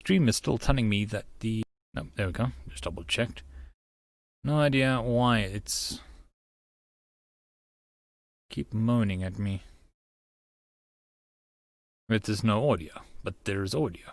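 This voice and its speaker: A man's voice: on the low side, measured pace, pauses often, dry recording. A man streamer talking casually in muttered, offhand asides during a live reading stream. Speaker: streamer reading a visual novel aloud.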